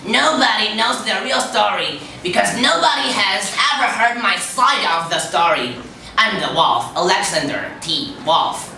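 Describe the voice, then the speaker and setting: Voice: medium pitch, lively intonation, a little slow, sharp tone. A polite storybook wolf pleading his own side, acted by a reader on stage. The sound is very echoey and distant, with heavy background noise. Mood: confiding. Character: self-justifying male wolf, polite neighbour calling out.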